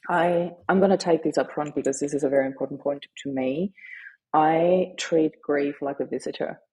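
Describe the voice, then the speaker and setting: A middle-aged woman whose first language is German, sharing her story earnestly and haltingly in an interview. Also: low-pitched, warm tone, husky, low-quality recording. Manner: earnest, halting, with frequent fillers. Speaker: guest, grief advocate and author.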